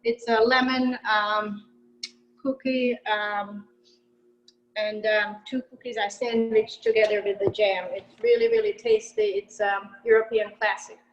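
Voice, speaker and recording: A woman's voice: medium pitch, level tone, unhurried, reverberant, lo-fi sound, slight background noise. A middle-aged woman from Hungary presenting her baked goods in a casual, hesitant way, with frequent fillers. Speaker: baker presenting her pastries.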